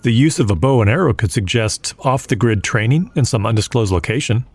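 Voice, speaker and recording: A man's voice: medium pitch, lively intonation, fairly quick, darkish tone, slightly rough, dry recording. A man playing a matter-of-fact police officer giving a briefing in an audio drama. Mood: businesslike.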